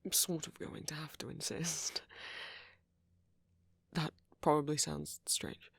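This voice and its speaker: A man acts out a friendly vampire with a gravelly, breathy voice, recorded very dry and intimate for an ASMR roleplay. He talks slowly and hesitantly, often sinking toward a whisper. His manner is flirty and apologetic, full of gentle concern and soft teasing. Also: a little high, flat delivery, no echo, heavily acted. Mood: solicitous, sheepish.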